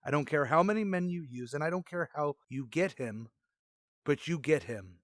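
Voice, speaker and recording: A man's voice: fairly high pitch, animated, moderate pace, no echo, clean studio sound, close to an everyday voice. A man playing a stern police chief in a radio crime drama, blunt, clipped and authoritative. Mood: stern, fed up. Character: stern, commanding male police chief demanding results.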